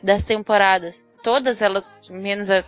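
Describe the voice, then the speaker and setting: A woman's voice: mid-range voice, fairly even intonation, normal speed, dull audio, faint music behind. A woman with a Brazilian accent talking casually as a podcast panelist, thinking her points through with hesitant phrasing. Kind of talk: casual discussion.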